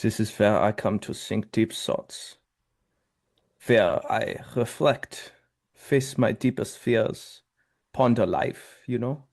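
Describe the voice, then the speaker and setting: A man's voice: on the low side, slow pace, darkish tone. An actor playing an earnest, naive young Austrian man who is smitten and solemnly sincere in a romcom table read. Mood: solemn confession.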